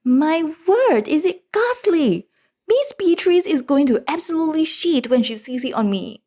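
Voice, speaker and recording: Brief: a shrill, pampered talking cat, very high, dramatic and prim. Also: female voice, sing-song, a little slow, mellow, exaggerated voice. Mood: disgusted.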